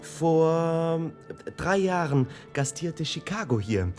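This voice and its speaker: An actor playing a nervous, deferential concert official in a radio play, hesitant and stammering. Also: male voice, fairly high pitch, animated, slow, darkish tone, faint music behind. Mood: flustered, eager. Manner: hesitant, stammering, throat-clearing.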